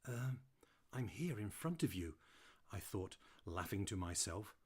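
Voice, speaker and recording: A man plays a playful terrier telling his own storybook tale. The voice is fairly low and rough-edged, with lots of swoop and variety. He comes across as a chatty, mischievous storyteller in an audiobook. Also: very animated, measured pace, darkish tone, full character voice. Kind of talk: first-person audiobook narration.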